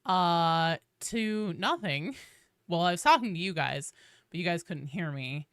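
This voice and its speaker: A woman live streaming games, chatting casually with her audience in an informal, animated, profanity-laced way. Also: on the low side, measured pace, clean tone, dry recording, studio quality. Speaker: video game live streamer.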